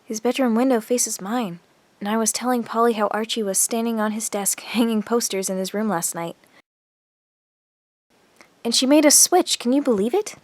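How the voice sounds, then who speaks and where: A woman's voice: a little high, moderate pace, no room sound, lightly acted. A woman playing an earnest, insecure girl of twelve confiding in her diary in a radio drama. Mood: excited, shy. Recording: music under the voice.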